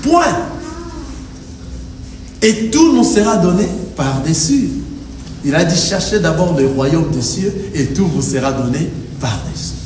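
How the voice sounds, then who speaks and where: A man's voice: on the high side, expressive, measured pace, long pauses. A man preaching a sermon as pastor, emphatic and rhythmic, with the congregation answering. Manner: emphatic preaching with call-and-response. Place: church hall. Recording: echoey hall, distant mic, faint noise. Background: congregation answering amen.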